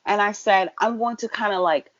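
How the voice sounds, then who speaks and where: A woman's voice: medium pitch, normal speed, no room sound, highs cut off. A woman co-hosting a casual podcast, chatting playfully with sassy asides like "honey" and "girl.". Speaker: podcast co-host. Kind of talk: casual chat.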